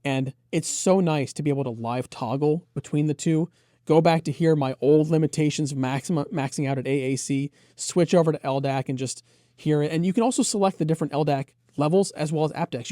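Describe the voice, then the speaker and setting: A man with a mellow, relaxed voice presents a product review. He is conversational and enthusiastic, moving through features in detail. The sound is dry, with very little room to it. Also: fairly high pitch, a little fast, dry recording.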